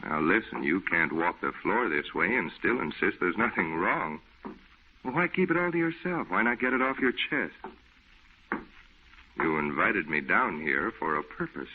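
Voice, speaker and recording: A hearty, folksy friend in a vintage radio play. His voice is low and slightly gravel-rough, with a reassuring, breezy delivery. It comes through thin and muffled, like an old broadcast. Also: very animated, normal speed, full character voice. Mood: concerned. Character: breezy, reassuring male friend, hearty busy office man. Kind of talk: old-time radio drama acting. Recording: muffled, narrow-band old radio recording.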